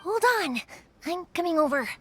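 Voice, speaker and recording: A woman's voice: very high-pitched, animated, moderate pace, music in the background. A woman voicing a cheerful, caring young fireworks maker in a video game, chatty and reassuring. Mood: hushed reassurance.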